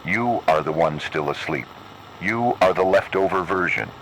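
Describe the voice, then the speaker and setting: A man playing an ominous announcer of horror warning broadcasts, speaking gravely and in a measured, instructing way. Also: low voice, expressive, fairly bright tone, slight background noise, poor audio. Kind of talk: scripted horror public-announcement narration.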